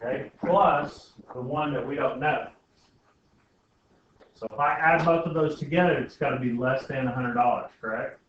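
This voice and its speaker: A man's voice: mid-range voice, normal speed. Man teaching in a very echoey room. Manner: informal, frequent checking questions. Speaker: algebra teacher. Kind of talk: classroom lesson, thinking aloud with questions to students. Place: indoor classroom. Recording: very echoey classroom, distant mic, muffled, faint noise.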